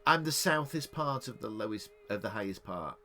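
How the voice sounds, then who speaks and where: A middle-aged man from England co-hosts a relaxed podcast, thinking out loud and restarting his sentences. His voice sits low but moves around a lot in pitch. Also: moderate pace. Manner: rambling, restarts often, laughs easily. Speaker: podcast co-host. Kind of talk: casual chat.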